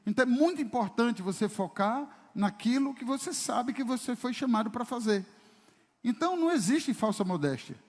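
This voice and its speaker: A man's voice: extremely high pitch, expressive, a little fast. A man preaching a sermon as pastor, emphatic and full of rhetorical questions to the congregation. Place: church service hall. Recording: long reverb of a church hall.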